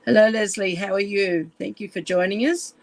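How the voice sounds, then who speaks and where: Croaky woman chatting on a live stream. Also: mid-range voice, fairly even intonation, normal speed, dry recording. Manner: chatty and apologetic while fixing her camera. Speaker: live stream craft demonstrator. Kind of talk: casual live stream chat. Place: room at home. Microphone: phone camera.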